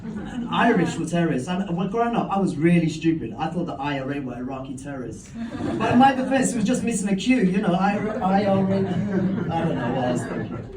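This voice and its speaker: A man works a stand-up set on a comedy club stage, his delivery punchy and self-mocking. His high voice comes from some way off the mic and echoes around the room. A steady noise and a crowd sit under it. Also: fast talker. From London. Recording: roomy, distant, crowd and hum. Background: audience laughter.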